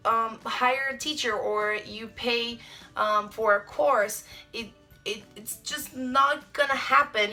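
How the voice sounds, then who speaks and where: A woman's voice: a little high, sharp tone. A young woman in her twenties with a Brazilian Portuguese accent, chatting casually to camera in her bedroom, with lots of ums and likes. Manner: chatty, many ums and likes, gestures a lot. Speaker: vlogger. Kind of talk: casual to-camera vlog answering tag questions. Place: small bedroom indoors. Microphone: camera mic at close framing.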